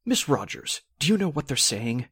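A man playing an earnest, indignant theatrical producer in a 1940s-style radio drama, formal and husky. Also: mid-range voice, sing-song, normal speed, fairly bright tone, exaggerated voice.

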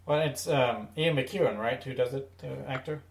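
A man's voice: mid-range voice, moderate pace, gravelly. A man co-hosting a podcast, chatting casually and giving his recommendations. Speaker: podcast co-host. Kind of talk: casual chat reviewing audio dramas. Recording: roomy, echoey indoor sound.

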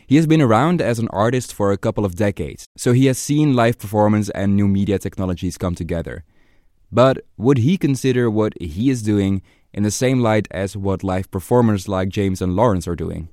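A man's voice: on the low side, normal speed, a little mellow, no room sound, clean studio sound. A man hosting a podcast, reading scripted narration in an explanatory way. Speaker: podcast host.

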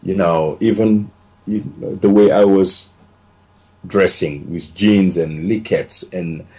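Gravelly-voiced man. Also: low voice, slow pace, warm tone, rough recording. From Senegal. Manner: earnest, repeats words and restarts often. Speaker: podcast guest. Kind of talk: casual storytelling interview.

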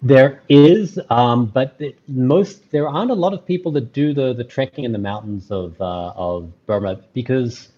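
A man's voice: mid-range voice, lively intonation, normal speed, few pauses, mellow, lo-fi sound. A man, talking earnestly as an interview guest, rambling with many restarts and fillers. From Australia. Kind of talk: casual interview conversation.

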